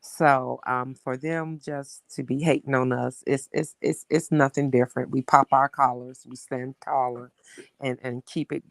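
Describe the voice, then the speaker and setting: Man, middle pitch, dry sound, casual commentary, hesitant deliberate delivery. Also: flat delivery, creaky, no echo.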